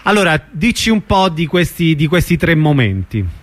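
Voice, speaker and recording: High-pitched male radio host. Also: very animated, normal speed. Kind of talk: radio interview, introducing and questioning.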